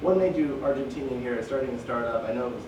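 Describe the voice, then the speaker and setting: Male audience questioner, mid-pitched, informal and rambling, off-mic with noticeable background noise. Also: fast talker, lo-fi sound. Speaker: audience member asking a question. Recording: echoey hall, distant mic, clear noise.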